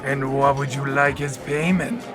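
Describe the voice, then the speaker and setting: A man playing a sinister, sardonic tempter in a radio play, deliberate and calculating, distant under music. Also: medium pitch, steady tone, lightly acted. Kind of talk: live radio play acting.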